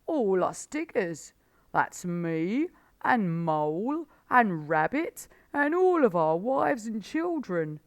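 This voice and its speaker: A woman's voice: mid-range voice, very animated, takes it slowly, no room sound, clean studio sound, pitched above the performer's own voice. A woman voicing a desperate, anguished old male badger in a storybook. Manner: anguished, pleading, loud.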